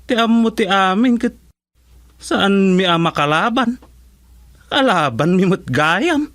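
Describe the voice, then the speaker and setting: A man's voice: high-pitched, animated, slow pace, a bit bright, no echo, full character voice. A man with a Filipino accent acting as an easygoing, talkative village council candidate in a comedy radio drama, full of exclamations. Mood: conversational.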